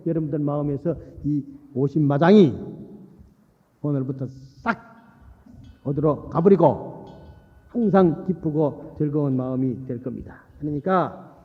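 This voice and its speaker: A man, a Buddhist monk, giving a sermon in a folksy explaining way, repeating phrases for emphasis and putting rhetorical questions to his listeners. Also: high voice. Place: large hall at a temple dharma assembly. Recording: reverberant hall, dull muffled sound.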